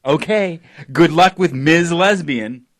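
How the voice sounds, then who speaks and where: Man playing a pushy, high-pitched radio boss. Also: slow. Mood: dryly sarcastic. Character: pushy, sardonic male boss of struggling internet radio company. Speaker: actor playing a radio executive. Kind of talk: audio drama dialogue.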